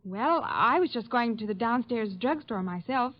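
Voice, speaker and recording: An actress in an old-time radio drama playing an eager, naive young secretary who speaks politely, earnestly and a little hesitantly. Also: female voice, fairly high pitch, lively intonation, normal speed, lightly acted. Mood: eager, shy. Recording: dull, lo-fi old radio recording.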